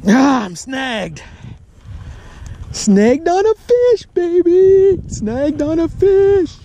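A man's voice: very high voice, extremely slow, slight background noise. Chatty man fishing at a lake. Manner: relaxed, chatty, talks to viewers as crew. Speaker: fishing vlogger. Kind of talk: casual outdoor commentary. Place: outdoors on a desert lakeshore. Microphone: action camera.

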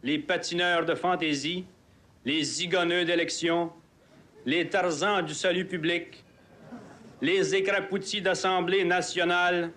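A man with a Québécois accent reciting poetry as a deliberate, biting litany of colloquial epithets. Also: sits high, fairly bright tone.

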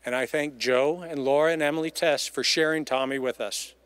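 Middle-aged man, higher voice, heartfelt tribute. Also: steady tone, fairly quick, fairly bright tone, clear, resonant tone, dry recording. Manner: emphatic, repeats phrases for emphasis. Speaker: eulogist. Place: outdoors in an empty baseball stadium. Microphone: microphone on a stand.

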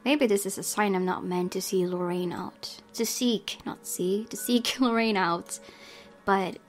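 Expressive woman doing a let's play. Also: mid-range voice, measured pace. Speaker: gaming video host. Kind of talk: let's play, reading game text aloud with reactions. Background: background game music.

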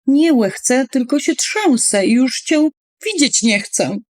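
Woman as a teeny plucky little man, animated. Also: high voice, takes it slowly, dry recording, studio quality, voice pushed up. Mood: frightened, defiant. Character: teeny-tiny curious man, plucky adventurer.

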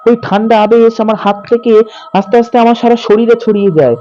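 High-pitched young man telling ghost stories. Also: little pitch movement, a little fast, warm tone, lo-fi sound. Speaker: horror story narrator. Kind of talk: dramatic first-person ghost story narration. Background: background music.

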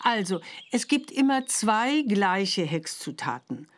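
A kindly, slightly flustered witch mum from a cartoon game. Her medium-pitched voice is lively and motherly as she explains. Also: slow pace.

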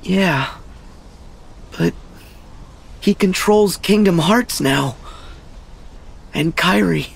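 Earnest, high-voiced teenage boy hero. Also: very slow, creaky, pauses often, husky, heavily acted. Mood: shaken. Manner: earnest, exclaiming. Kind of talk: video game cutscene acting.

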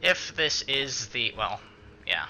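Bright, forceful man doing game commentary. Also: mid-range voice, big pitch swings, slow, a little hiss or hum, rough recording. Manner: muttering to himself, mild swearing. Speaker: gaming video host. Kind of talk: casual let's-play commentary.

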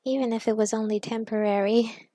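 A woman performs a young superheroine in an audio story. She speaks high and expressively, confiding with playful warmth and earnest worry. The sound is dry. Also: steady tone, a little fast, no echo, lightly acted. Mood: confiding, wistful.

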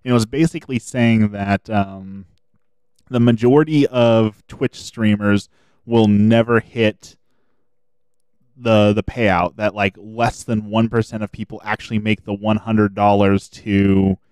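A man talks his way through a game on stream, rambling and thinking aloud. His voice sits a little low and stays smooth even though it is slightly hoarse. The sound is dry and close, with no room echo. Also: measured pace. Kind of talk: casual gameplay commentary.

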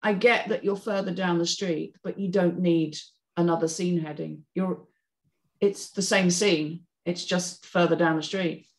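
A woman's voice: lowish voice, steady tone, a little slow, rough recording. Female panel host, middle-aged, emphatic and direct, talking through feedback on a video call. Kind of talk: informal spoken critique. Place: room at home on a video call. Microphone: video call computer microphone.

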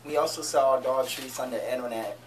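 A young man presenting in a small store, speaking in a plain, even way. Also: medium pitch, a little fast, noisy background. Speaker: dog treat program staff. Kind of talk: scripted on-camera presentation. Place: small convenience store and kitchen, indoors. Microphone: far camera mic. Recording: distant camera mic with clear background music.

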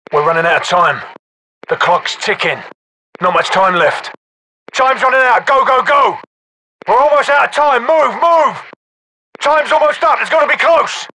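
Forceful, tough British special forces soldier. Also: male voice, on the high side, low-quality recording. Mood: urgent. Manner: curt, commanding. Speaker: video game voice actor. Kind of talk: military game voice lines, short barked radio callouts.

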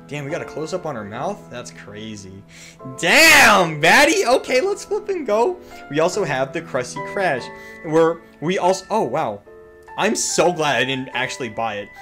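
A young man giving joking, slangy, excitable gaming commentary. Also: medium pitch. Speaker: gaming YouTuber.

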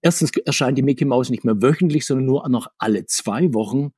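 A man talking conversationally as an interview guest, talkative and often correcting himself, with a husky voice. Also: medium pitch, brisk, dark tone, dry recording, clean studio sound. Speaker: guest, comics author.

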